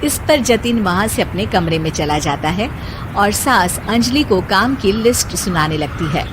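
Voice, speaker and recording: A woman narrating a cartoon bedtime story in a steady, plain storytelling manner. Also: mid-range voice, normal speed, heavy background noise.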